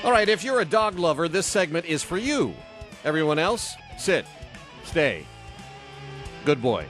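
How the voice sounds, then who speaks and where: Deep-voiced jokey male radio host. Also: fairly bright tone. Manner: jokey, chatty, many fillers and restarts. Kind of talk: casual studio interview. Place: radio studio. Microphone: studio microphone.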